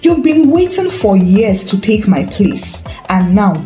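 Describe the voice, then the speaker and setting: Woman voicing an intense, proud pastor, expressive pitch. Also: measured pace. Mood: cold, suspicious. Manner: intense, insistent. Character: earnest, wounded, proud married male pastor. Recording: roomy echo with faint music.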